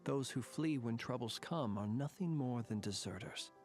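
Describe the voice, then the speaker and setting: A world-weary soldier-scholar, voiced by a man, formal and wordy, with a dry wit. His voice sits at an ordinary middle pitch over soft game music. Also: moderate pace, lightly acted. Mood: resolute. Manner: formal, wordy and dryly wry. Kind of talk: game voice acting.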